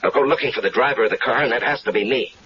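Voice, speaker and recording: A man's voice: mid-range voice, very fast, sharp tone, lightly acted. A man voicing a wary, streetwise man who is skeptical about a murder plot, in a 1970s radio drama. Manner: wry, skeptical. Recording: dull, faintly hissy 1970s radio recording.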